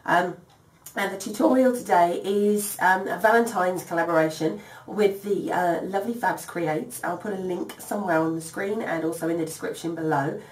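A low-pitched woman in her thirties hosts a home sewing tutorial, her voice still rough from a cold. She chats casually and keeps filling gaps with ums, heard from a little way off in an echoey room. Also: fairly even intonation, normal speed. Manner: chatty, many ums, apologetic. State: recovering from a cold, voice strained. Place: home dining room by garden windows. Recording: echoey room, slightly distant mic.

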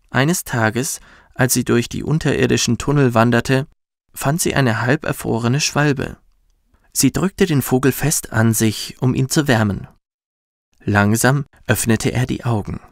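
Narrator: man, gentle bedtime storytelling, unhurried, creaky low edges, soothing delivery. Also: no echo, studio quality. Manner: soft, soothing, measured. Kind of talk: gentle scripted storytelling for children.

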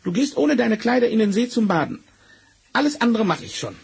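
Very high, creaky sly tomcat. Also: male voice, expressive, a little fast, exaggerated voice. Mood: sly, confident. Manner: wheedling, cunning, theatrical. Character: sly, bossy talking tomcat in boots. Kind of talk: 1970s German fairy-tale radio play acting. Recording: noisy vinyl record transfer.

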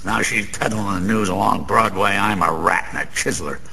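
An actor playing a garrulous small-time American gambler in a radio play, slangy, wisecracking and lonely, with a gravelly voice. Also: male voice, on the low side, fairly quick, sharp tone. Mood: scornful, wisecracking. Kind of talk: theatrical radio-play monologue. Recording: long room reverb, faint noise.

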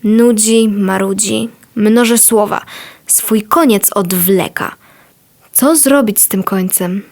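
Woman reciting poetry slowly. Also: mid-range voice, a little mellow. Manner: measured, deliberate. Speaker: poem reader.

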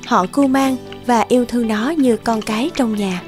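A woman's voice: a little high, moderate pace, a little mellow, soft background music. Woman narrating a fairy tale. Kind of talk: scripted storybook narration.

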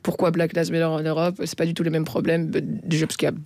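A young woman whose first language is not French speaks fast into a studio microphone as a radio guest. She stumbles through restarts and fillers. The sound is dry and close. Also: low-pitched, monotone, darkish tone, no room sound. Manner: hesitant, many fillers and restarts. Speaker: guest musician. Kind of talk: casual interview answers. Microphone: studio mic, headphones.

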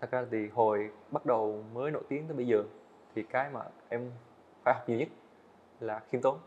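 A man's voice: mid-range voice, darkish tone, lo-fi sound, a little hiss or hum. A young man, a student animator, answering interview questions earnestly in casual speech, often restarting his phrases. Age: young adult. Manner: earnest, fast-talking, frequent small restarts. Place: indoor studio set.

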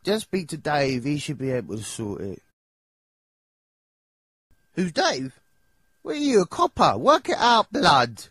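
An actor with an English accent voicing a nervous, dim, childlike young singer in a video game, hesitant and rambling in slangy speech. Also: male voice, fairly high pitch, sing-song, measured pace, pauses often, fairly bright tone, husky, dry recording, studio quality, exaggerated voice. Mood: dismissive, chatty. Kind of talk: video game character dialogue.

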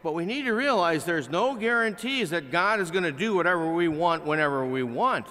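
An older man preaches from a pulpit in a large chapel, talking to the congregation in a plain, conversational way. His voice sits a little high and sounds bright and forceful. The pulpit mic catches a long reverb that hangs in the room. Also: a little fast. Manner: conversational preaching with animated swings from loud to hushed. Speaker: pastor. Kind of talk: sermon. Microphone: pulpit microphone, filmed by a far camera.

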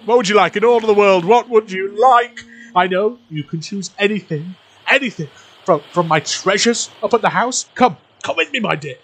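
A man's voice: high-pitched, animated, moderate pace, a bit bright, slightly rough, heavily acted. A man playing a giddy, generous old uncle in an audio drama, rapturous and prone to repeating himself. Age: older. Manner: rapturous, breathless listing and repeating.

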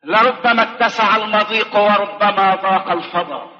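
A man preacher giving an impassioned, rhythmic sermon that rises to shouted calls to the congregation. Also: high-pitched, fairly even intonation, moderate pace. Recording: echoey hall, dull sound, faint crowd.

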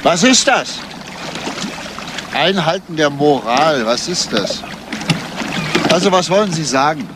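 An actor playing a persistent police detective who questions insistently in a German crime radio play. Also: male voice, on the high side, lively intonation, slow, long pauses, background noise. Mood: indignant, insistent.

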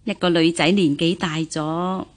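A woman acting a worried, gentle middle-aged mother of an official household in a Cantonese radio drama, sighing and solicitous. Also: on the low side, steady tone, unhurried, natural voice. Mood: concerned.

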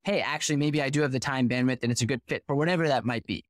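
A man in his thirties talks through his story with an articulate, animated flow. His voice sits at an average pitch and is picked up close on a headset mic. The small curtained room sounds completely dry. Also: racing, no room sound. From United States. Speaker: startup founder, guest. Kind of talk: podcast interview over video call.

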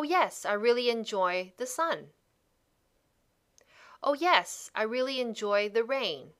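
A woman teaching an English lesson over slides, modeling phrases slowly and repeating them for practice. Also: medium pitch, fairly bright tone.

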